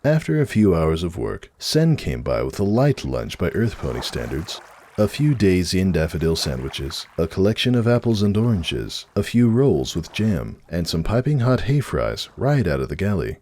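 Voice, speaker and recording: A man's voice: low-pitched, big pitch swings, normal speed, darkish tone, slight background noise. A man reading a story aloud as narrator in a dramatic, scripted narration style.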